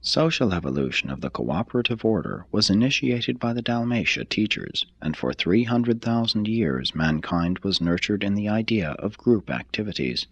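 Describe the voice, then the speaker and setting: A man reading a formal text aloud as scripted narration, in a measured, deliberate manner. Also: lowish voice, big pitch swings, fairly bright tone, no room sound. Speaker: audiobook reader.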